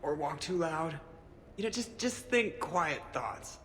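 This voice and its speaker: An actor playing a wry young crew member in a video game, rambling and self-deprecating. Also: male voice, a little high, lively intonation, takes it slowly, a bit bright, big-room sound, a little hiss or hum. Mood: queasy, pained.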